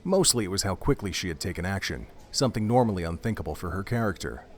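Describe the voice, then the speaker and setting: A man narrating a scripted audio drama in a measured, descriptive storytelling manner. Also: deep voice, lively intonation.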